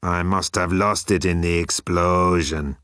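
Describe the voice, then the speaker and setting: A man voicing a big, hairy, slow-witted thunder god who rambles ponderously. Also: sits low, fairly even intonation, a bit bright, smooth, no room sound, clean studio sound. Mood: earnest, pleased.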